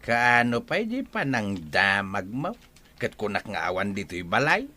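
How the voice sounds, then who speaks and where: Stern worried father, forceful gruff delivery, animated pitch, Ilocano accent, radio drama. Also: unhurried, soft background music, exaggerated voice. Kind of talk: Filipino radio drama acting.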